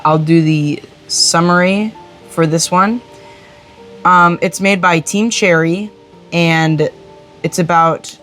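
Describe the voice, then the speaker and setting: A man's voice: fairly high pitch, a bit bright. A young male co-host of a game review show, speaking casually with informal, hesitant phrasing.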